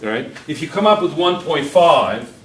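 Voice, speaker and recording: A man's voice: a little high, lively intonation, normal speed, a bit bright. A middle-aged man teaching a chemistry class at the whiteboard, encouraging and quizzing his students as he works through problems. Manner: encouraging, questioning students constantly. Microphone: far camera. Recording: roomy classroom from a distant camera.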